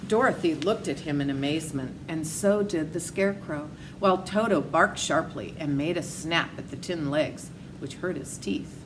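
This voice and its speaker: Low-pitched woman reading a story, noisy recording. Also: moderate pace, hardly any pauses. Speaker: storybook reader. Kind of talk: read-aloud children's story narration.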